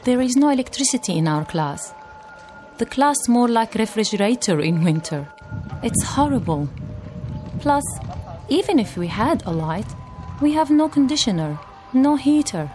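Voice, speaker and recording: A woman's voice: fairly high pitch, sing-song, warm tone. Earnest young woman from Iraq. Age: young adult. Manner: earnest, measured, non-native phrasing. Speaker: young blogger reading her posts. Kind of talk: reading personal blog entries aloud. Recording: with background music.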